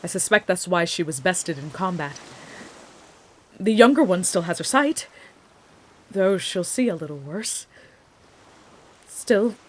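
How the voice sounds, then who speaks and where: An actress playing a composed young woman healer in a fantasy audio drama, speaking in formal, measured wording. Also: lowish voice, lively intonation. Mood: matter-of-fact.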